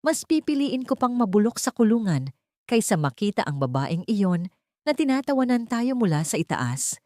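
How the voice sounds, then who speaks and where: Audio drama villain: woman playing ruthless older matriarch, domineering, scornful, very animated delivery. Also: a little high, moderate pace, no room sound, studio quality, voice pushed up. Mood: ruthless, commanding. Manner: scolding, commanding. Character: fierce, domineering older matriarch, cruel and scheming.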